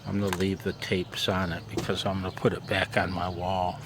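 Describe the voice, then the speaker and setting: A man with a gravelly voice chatting casually in a rambling, self-deprecating way for his vlog. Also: little pitch movement, fairly quick. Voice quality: low, gravelly. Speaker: amateur radio vlogger. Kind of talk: casual chat. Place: outdoors in a backyard garden and deck. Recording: outdoor background noise.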